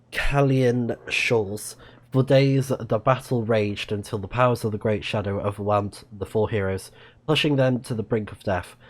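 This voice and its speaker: Low-voiced man streaming a video game. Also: darkish tone. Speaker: let's play streamer. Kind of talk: casual game commentary, reading game text aloud.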